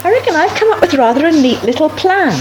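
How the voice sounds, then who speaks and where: An actress playing a brisk, practical, scheming farmer's wife in a naturalistic radio soap. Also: female voice, high voice, big pitch swings. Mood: brisk, planning. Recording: noisy 1980s radio drama.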